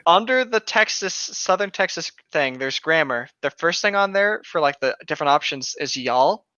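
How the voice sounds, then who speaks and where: A man's voice: a little high, expressive, normal speed, bright, forceful tone, no echo. A man co-hosting a podcast, joking around and reading aloud casually, with some swearing, on a slightly dull lo-fi microphone. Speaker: podcast co-host. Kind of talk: casual banter and creepypasta reading.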